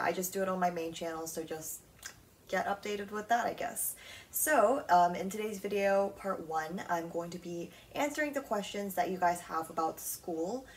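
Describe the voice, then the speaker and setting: Casual young woman podcast host, rambling and filler-heavy, lowish voice, sits a bit back from the mic. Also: far from the mic, slight background noise. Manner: chatty, frequent "like" and "um" fillers. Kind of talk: casual solo podcast chat.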